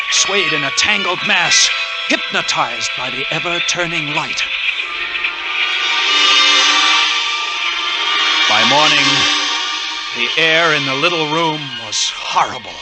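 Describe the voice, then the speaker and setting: An actor playing a tense, resolute lighthouse keeper, narrating a 1940s radio suspense drama in a dramatic, deliberate way. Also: male voice, on the high side, rough recording. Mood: grim, tense. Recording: old 1940s radio broadcast with organ music.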